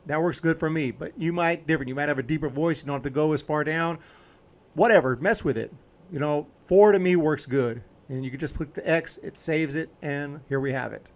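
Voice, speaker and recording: A man hosting a video editing tutorial, talking casually and informally as he explains each step while clicking along. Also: a little high.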